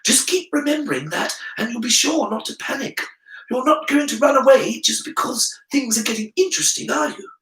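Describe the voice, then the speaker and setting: Boy's inner voice played by a male reader: very high, swooping, coaxing and insinuating storybook figure, roomy sound. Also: animated, fairly quick, fairly bright tone. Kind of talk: storybook character voice in audiobook reading. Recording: roomy, distant from the mic.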